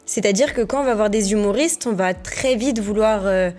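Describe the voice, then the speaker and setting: A woman's voice: mid-range voice, normal speed, a little mellow. A young woman hosting a podcast, asking her guest questions in a conversational way and sometimes stopping to search for words. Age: young adult. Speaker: podcast host. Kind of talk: interview questions.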